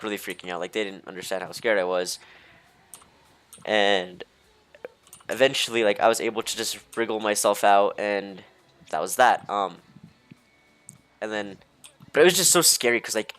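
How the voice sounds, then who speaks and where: A young man with a slightly low voice tells personal stories casually. He talks in a loose, halting way, doubling back and losing his thread, with "like" scattered through his sentences. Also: a bit bright. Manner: hesitant, halting, easily distracted, heavy use of "like". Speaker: storyteller. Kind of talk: casual rambling storytime.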